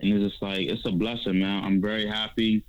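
A man's voice: on the low side, flat delivery, brisk, clean tone, muffled recording. Laid-back DC man on a podcast. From Northeast Washington, D.C. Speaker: podcast guest. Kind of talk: casual podcast chat.

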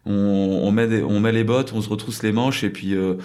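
Man from France, low voice, chatty interviewee, rambling with lots of fillers. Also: fairly quick, darkish tone. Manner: rambling, many fillers and restarts. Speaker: crêperie owner in South Korea. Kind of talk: casual interview storytelling.

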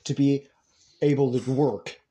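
A man's voice: medium pitch, fairly even intonation, measured pace, mellow. A young man in casual group conversation, speaking in an emphatic, opinionated way.

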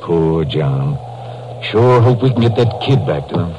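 An actor playing a plainspoken sheriff with a folksy drawl in a 1950s radio drama. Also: male voice, low-pitched, animated, unhurried, highs cut off, music in the background. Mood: watchful. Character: folksy, steady rural Texas sheriff. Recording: old 1950s radio recording, faint noise.

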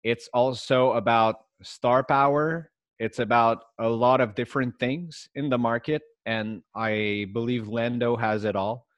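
A man's voice: mid-range voice, steady tone, measured pace, hardly any pauses, no room sound, dull audio. A man whose first language is French, giving casual market commentary as a guest investor and collector.

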